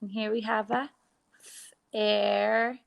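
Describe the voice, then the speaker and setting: An Irish woman teacher giving a phonics lesson to young children, sounding out letters slowly and clearly. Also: mid-range voice, flat delivery, lo-fi sound. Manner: slow, exaggerated sounding out of letters.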